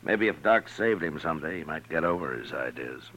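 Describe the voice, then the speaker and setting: Very deep-voiced western marshal. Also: male voice, animated, fairly bright tone. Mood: somber, reflective. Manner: unhurried, plain-spoken, measured. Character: calm, fair-minded frontier marshal, adult man. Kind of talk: 1950s western radio drama acting. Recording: old noisy radio recording.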